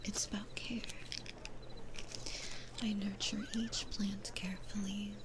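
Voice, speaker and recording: A woman voicing a sweet, gentle demon florist in an ASMR roleplay, speaking in a soothing, breathy whisper with unhurried pauses. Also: mid-range voice, flat delivery, noisy background, natural voice. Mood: gentle, reassuring.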